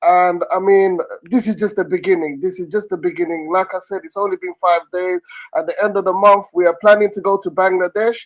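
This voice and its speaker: A man's voice: high-pitched, steady tone, fairly quick. A man in his thirties, tired from little sleep, giving an eager, effusive testimonial over a video call from a small room. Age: 30s. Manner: eager, effusive, repeats himself. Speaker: call participant.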